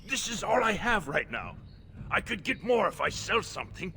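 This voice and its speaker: An actor playing an anxious, pleading old shopkeeper in a fantasy video game, given to stammering and indignation. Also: male voice, sits high, big pitch swings, normal speed, gravelly, pushed and bright, slight background noise, heavily acted. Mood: frightened pleading. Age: older. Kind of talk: video game character acting.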